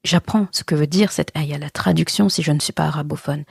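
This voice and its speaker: A woman's voice: sits low, a little fast, creaky, a little mellow, slightly rough, dry recording, studio quality. A woman hosting a podcast and giving a religious teaching talk, earnest and reassuring as she speaks straight to the listener. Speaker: podcast host.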